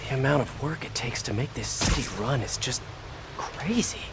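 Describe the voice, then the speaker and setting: A man's voice: mid-range voice, moderate pace, creaky, very noisy, close to an everyday voice. An actor playing a young, good-hearted American superhero in a video game, earnest and quippy as he talks. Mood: curious, quippy. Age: young adult. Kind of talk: video game voice acting, talking to himself. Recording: loud music behind the voice. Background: game music.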